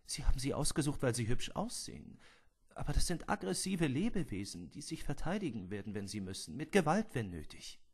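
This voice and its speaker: A man's voice: mid-range voice, big pitch swings, a little fast, breathy edge. A man playing a concerned, principled female plant scientist, questioning firmly in a film scene reenactment.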